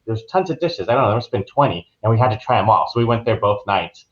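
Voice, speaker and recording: Male podcast guest, lowish voice, easygoing conversational delivery, slightly muffled lo-fi audio. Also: sing-song, fast talker. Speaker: podcast guest, travel agent. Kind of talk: casual conversational recounting.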